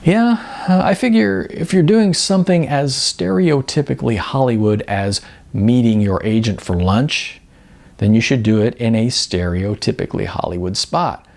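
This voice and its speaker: A man's voice: medium pitch, normal speed, vocal fry, darkish tone, lightly acted. A man voicing a contented, wistful, overweight male model in an audiobook, chatting casually.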